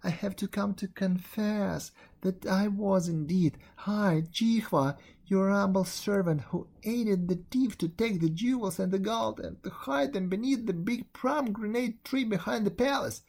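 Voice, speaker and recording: A reader voicing a frightened young servant girl who pleads and confesses in a high, tearful voice. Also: a little slow, creaky, few pauses, a little mellow. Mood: sobbing, pleading.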